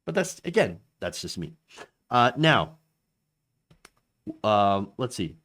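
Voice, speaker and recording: A man gives casual political commentary on his own show. His pitch moves around a lot, and he keeps stopping on fillers and restarting his sentences. He sets his views apart from the quotes he reads. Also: big pitch swings, slow, fairly bright tone.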